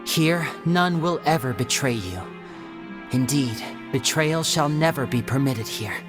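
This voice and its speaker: An actor playing an earnest, guarded young stage magician in a video game, speaking in a measured, explanatory way. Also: male voice, medium pitch, lively intonation, lightly acted. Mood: solemn, resolute. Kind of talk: video game story voice acting. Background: background music.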